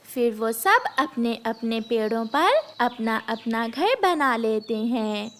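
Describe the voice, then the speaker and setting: Woman narrator for a kids' cartoon, slow, high, sing-song reading. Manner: slow, expressive. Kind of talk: scripted storybook narration.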